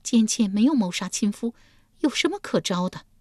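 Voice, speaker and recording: A woman's voice: animated, normal speed, dry recording, clean studio sound, close to an everyday voice. A woman voicing a stammering, frightened young wife. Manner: high, trembling, stammering.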